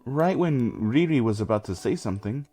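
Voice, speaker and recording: A man's voice: medium pitch, expressive, moderate pace, mellow, faint music behind. A man reading scripted first-person story narration for a comic dub.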